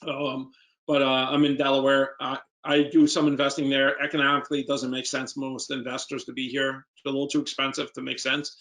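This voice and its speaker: A man's voice: mid-range voice, monotone, fairly quick, fairly bright tone, low-quality recording. A man with a New York accent, an investor speaking informally as a guest and answering questions in an emphatic, plain-spoken way.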